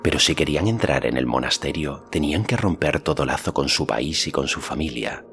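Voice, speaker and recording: A man's voice: very deep voice, animated, fairly quick, fairly bright tone. A man reading a fantasy story as an audiobook narrator, in a scripted narration style. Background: faint music.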